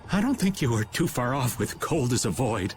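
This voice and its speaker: A man voicing a goofy, whining middle-aged adventurer with hesitant, theatrical delivery in an anime video game dub. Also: mid-range voice.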